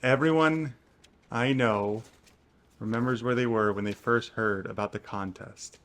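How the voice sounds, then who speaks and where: A man's voice: mid-range voice, unhurried, a bit bright. A man in his thirties co-hosting a casual guessing game, chatting in an easygoing, joking way. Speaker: co-host of a book channel.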